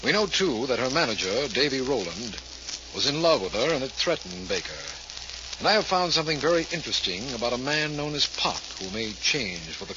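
An actor playing an earnest, authoritative district attorney, formal and clipped, in 1940s radio drama style. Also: male voice, mid-range voice, close to an everyday voice. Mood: narrating. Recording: noisy, muffled 1940s radio recording.

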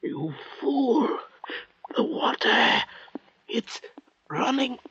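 An actor playing a frantic, parched castaway convict in a 1940s radio drama, with a creaky, husky voice. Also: male voice, extremely high pitch, big pitch swings, drawn-out words, bright, forceful tone, no echo, exaggerated voice. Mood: desperate, crying. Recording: muffled vintage 1940s radio recording.

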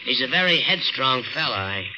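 A man's voice: medium pitch, big pitch swings, a little fast, dull audio, background noise, close to an everyday voice. An actor playing a curt, sardonic, menacing city cab driver with slangy tough-guy talk in a 1940s radio play. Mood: sardonic menace. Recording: old radio broadcast, music behind.

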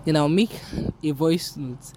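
A woman's voice: deep voice, measured pace, background noise. Young Nigerian woman rambling candidly. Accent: Nigerian English. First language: Yoruba. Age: young adult. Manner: rambling, candid, self-deprecating. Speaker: podcast host. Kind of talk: casual solo podcast chat.

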